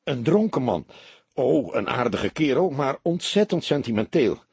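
Polite male businessman witness a man acts him out, explanatory, animated intonation, faintly rough tone, detective audiobook. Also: medium pitch, measured pace, no echo, voice pushed up, full character voice. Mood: amused, chatty. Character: earnest, round-faced businessman giving his account.

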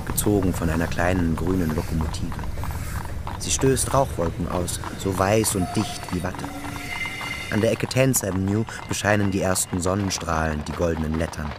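A man's voice: low voice, background noise, lightly acted. A man narrating a literary radio play in scripted prose, acting as its storyteller. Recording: music behind the narration. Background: music.

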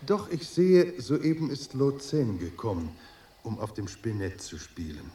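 A man playing a courteous, secretive monastery host in a German radio drama, formal, measured and guarded. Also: medium pitch, very animated, dark tone, a little hiss or hum. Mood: politely evasive. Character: courteous, secretive Chinese lamasery host, serenely evasive.

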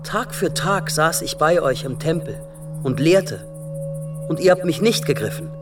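A man's voice: a little high, animated, unhurried. A male actor playing a grave Jesus in a radio passion play, speaking solemnly and declaiming scripture. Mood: reproachful, rising. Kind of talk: German radio drama acting of scripture. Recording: heavy music behind the voice.

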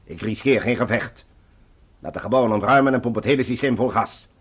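Male actor as chilly Mars ruler for radio drama: measured commands, medium register, rough-edged husky tone. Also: mid-range voice, natural voice. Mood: commanding. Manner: authoritative, measured, condescending. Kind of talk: science fiction radio drama acting.

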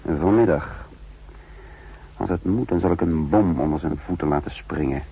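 An actor playing a shrewd, sardonic counter-espionage chief in a 1960s radio drama, musing dryly with thoughtful hums. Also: male voice, low-pitched, normal speed, vocal fry, warm tone, slightly rough, exaggerated voice. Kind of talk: 1960s Dutch radio drama acting with narration. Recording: dull, noisy 1960s radio recording.

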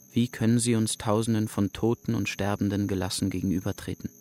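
A man's voice: lowish voice, a little mellow, close to an everyday voice. An actor playing a somber young male camp survivor, giving a sober, restrained monologue in a radio drama.

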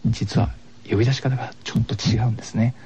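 A man's voice: medium pitch, little pitch movement, fast talker, dark tone, husky. A man explaining a game in casual, polite chat as a radio show guest, agreeable and a little hesitant in his phrasing. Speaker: guest guiding the host through a game. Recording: dull lo-fi radio recording.

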